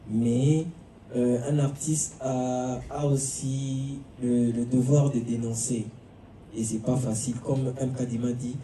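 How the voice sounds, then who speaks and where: A man's voice: mid-range voice, warm tone, slight background noise. Congolese man speaking in a very echoey room. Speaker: panel speaker, manga artist. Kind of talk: panel discussion remarks. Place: echoey room at a public event. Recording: echoey hall, distant mic, music behind.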